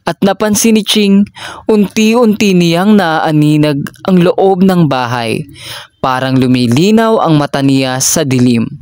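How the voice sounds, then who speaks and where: A person reading a children's story aloud in a measured, deliberate storytelling manner. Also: mid-range voice, a little mellow, dry recording.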